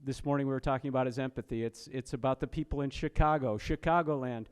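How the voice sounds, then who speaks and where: Man introducing an honoree, stumbles and repeats words, mid-pitch, long reverb in a big hall. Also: fairly even intonation, clean tone. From Massachusetts, USA. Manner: halting, repeats words, earnest. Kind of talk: speech at a reception. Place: banquet hall.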